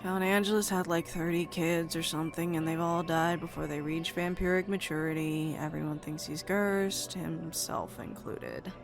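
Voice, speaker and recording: A flippant, bratty vampire deity, acted by a woman in a fantasy audio drama. The voice is creaky and sarcastic, full of impatient slang. Faint music sits behind it. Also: low voice, moderate pace, hardly any pauses. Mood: gossipy, flippant. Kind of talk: visual-novel audio drama.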